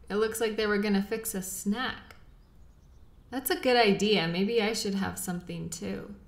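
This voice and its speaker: A woman playing a caring, patient girlfriend in an intimate role-play audio, talking gently and soothingly to her partner. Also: mid-range voice, no echo. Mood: casual, calling out. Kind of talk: intimate one-sided role-play acting.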